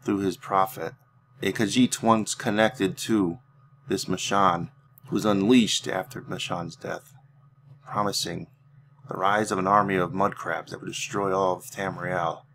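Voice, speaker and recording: A man narrating fantasy lore as a game roleplay storyteller, solemn and deliberate, with dramatic pauses. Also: deep voice, fairly even intonation, a bit bright, slight background noise. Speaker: host narrating a video game roleplay series.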